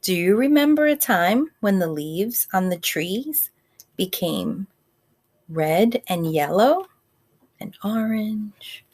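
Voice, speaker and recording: Slow-paced woman reading a storybook to children. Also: medium pitch, sing-song, a little mellow. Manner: simple, child-directed, pausing to ask questions and explain words. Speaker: teacher reading a picture book to children. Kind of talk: read-aloud storytime with asides and questions.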